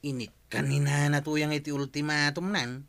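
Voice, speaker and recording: A man's voice: a little high, level tone, normal speed, vocal fry, fairly bright tone, dry recording. A man playing a teasing, gossipy village watchman with an Ilocano accent in a radio comedy drama. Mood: gossipy reporting.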